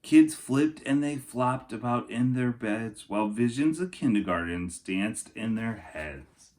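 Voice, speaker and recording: A man's voice: mid-range voice, expressive, measured pace, a little mellow. A man reading a storybook aloud as a teacher, expressively, speaking directly to young children.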